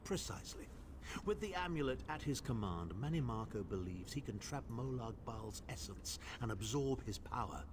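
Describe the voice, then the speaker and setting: A man's voice: medium pitch, animated, normal speed, background noise, natural voice. A man playing a haughty sardonic mage. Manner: dry, condescending, clipped. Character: haughty aristocratic male imperial mage, sardonic.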